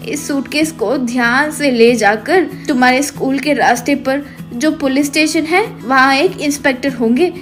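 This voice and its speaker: A woman voicing a gentle, honest, ailing mother in an animated moral story, speaking in a kindly, instructive way. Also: high voice, normal speed, soft background music, natural voice. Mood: gentle, firm.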